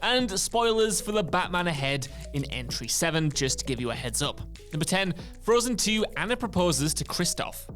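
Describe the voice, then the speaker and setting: Man, noticeably high voice, scripted list narration, conversational presenter manner, music underneath. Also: big pitch swings, normal speed, fairly bright tone. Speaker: video presenter. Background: background music.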